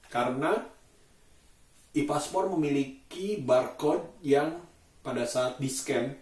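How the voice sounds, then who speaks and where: A man from Indonesia, chatting casually to camera as a solo vlogger in a halting, deliberate way with drawn-out pauses. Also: medium pitch, darkish tone. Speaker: daily vlogger and host. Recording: roomy echo, distant microphone.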